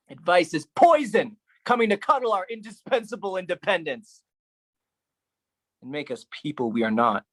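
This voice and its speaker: A performer delivering a theatrical spoken-word poetry monologue in a dramatic, deliberate, heavily emphatic manner. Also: mid-range voice.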